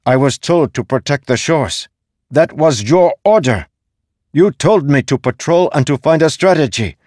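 A man's voice: low voice, unhurried, breathy edge, dry recording, studio quality. A man voicing an earnest young warrior son in a fantasy audiobook, intense and frustrated as he defends himself. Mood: angry, exasperated. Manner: intense, indignant when challenged.

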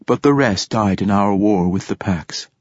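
Man voicing a battle-worn rebel woman, husky and grave. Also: fairly low pitch, measured pace, dry recording, muffled recording. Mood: grieving.

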